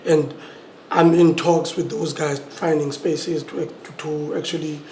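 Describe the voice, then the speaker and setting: A man's voice: on the high side, little pitch movement, unhurried, darkish tone, a little hiss or hum. A man, a photographer talking in a loose, casual way with repeated words and you know fillers. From South Africa. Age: 20s. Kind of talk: panel discussion. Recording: echoey room.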